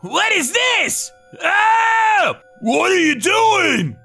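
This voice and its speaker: A man voicing panicked young male thugs in a manga dub, frantically shouting and whining in a strained, screechy voice. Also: very high-pitched, very animated, extremely slow, vocal fry, faint music behind, voice pushed up, full character voice. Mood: terrified.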